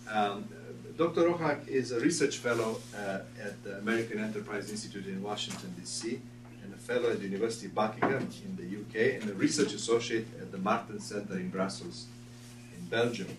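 A middle-aged man opens a seminar by reading a formal introduction of the guest, slipping in a dry aside. His voice sits low for a man. The sound is distant and roomy, with a steady hum underneath. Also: brisk. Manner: reads from notes with dry asides. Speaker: seminar host introducing a speaker. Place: seminar room with a long table. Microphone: far room camera. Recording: echoey room from far off, background hum.

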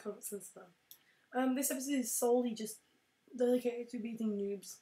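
A young woman casually commentating a game, excitable and prone to shouting at wins and losses. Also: on the high side, normal speed. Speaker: let's play host. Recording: roomy echo.

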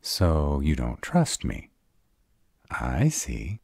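A man's voice: rumbling low, wildly swooping pitch, very slow, creaky, a little mellow, husky, dry recording, studio quality, heavily acted. A man playing a sarcastic bad-boy vampire in an audio roleplay drama, drawling, guarded but devoted. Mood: hurt, guarded.

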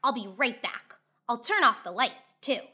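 A woman's voice: a little high, very animated, a little slow, pushed and bright, husky, full character voice. A woman voicing an eager, earnest young animal pupil in a children's storybook. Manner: eager, exclamatory.

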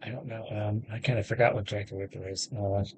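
A man's voice: on the low side, flat delivery, fast talker, darkish tone, a little hiss or hum, low-quality recording. A man in his thirties giving casual, offhand gameplay commentary as a video host, close to the mic. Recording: game music behind the voice.

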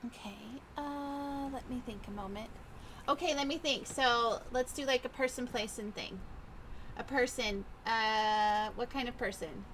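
A woman with a slightly high voice talks casually through a game roleplay. She takes her time, hesitating and thinking aloud, over faint game ambience and noise. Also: unhurried, a bit bright.

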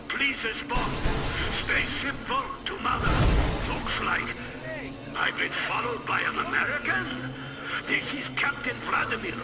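Urgent Soviet spaceship captain, high distant radio voice, clipped military delivery for a game. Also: male voice. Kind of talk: video game radio-call acting. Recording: distant radio transmission under loud music.

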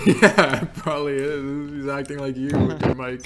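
Young man, game streamer, teasing slangy banter, casual, swearing, clear keyboard and game noise behind. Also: mid-range voice, steady tone, normal speed. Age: young adult. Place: indoor room at a desk. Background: typing, clicks, game sounds.

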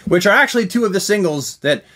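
A man's voice: high-pitched, animated, quick pace, pushed and bright. A middle-aged man giving casual, jokey unboxing commentary to camera, effusive and repeating words for emphasis. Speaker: host of a comics collecting channel. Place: small indoor room packed with collectibles.

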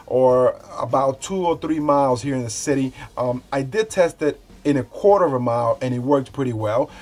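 A man's voice: mid-range voice, darkish tone, breathy edge. A man in his forties talking casually and directly to viewers as he hosts an unscripted product review indoors. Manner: conversational, addresses viewers directly. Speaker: product review video host. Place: small home studio room indoors.

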